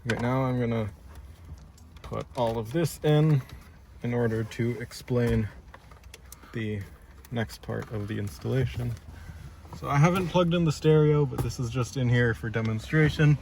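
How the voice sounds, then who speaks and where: Guy with average pitched voice doing a step by step diy walkthrough in a car, kinda noisy background. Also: moderate pace, pauses often, darkish tone. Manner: methodical, explaining step by step while working. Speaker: DIY installer presenting his project. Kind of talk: casual how-to walkthrough. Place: inside a car. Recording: clear background noise inside a car.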